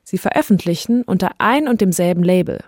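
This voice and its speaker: A woman's voice: on the low side, lively intonation, fairly quick, a little mellow, dry recording, clean studio sound. A woman hosting and reporting in a conversational investigative podcast narration style. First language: German.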